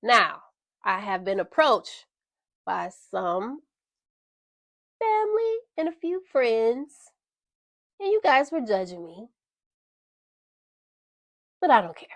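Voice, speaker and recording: Woman, podcast host, congested and stuffy, gravelly fry, unhurried delivery, dry close sound. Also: on the high side, sing-song, no room sound. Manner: frank, opinionated, addresses listeners as y'all. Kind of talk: storytime monologue.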